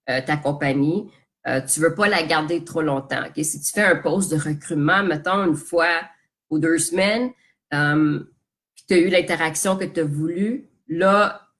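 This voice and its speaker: Low-voiced bilingual Quebec French woman coach. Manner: casual, direct, mixes English terms into French. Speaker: business coach. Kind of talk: live social media coaching talk. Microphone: phone or webcam on a live stream.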